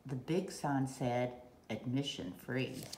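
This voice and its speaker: A woman reading a children's picture book aloud in short, deliberate rhyming phrases, recorded at a table at home. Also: extremely low pitch, dark tone. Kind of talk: read-aloud storytelling. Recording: roomy echo, faint background noise.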